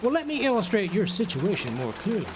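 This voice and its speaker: Man playing pompous, swooping, high-voiced genial drunk. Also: huge pitch swings, brisk, background noise. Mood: wry, expounding. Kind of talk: radio drama acting.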